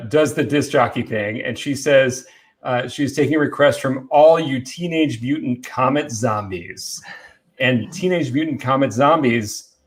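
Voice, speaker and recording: A man chatting casually and enthusiastically as a guest presenter, telling bits of film trivia. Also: mid-range voice.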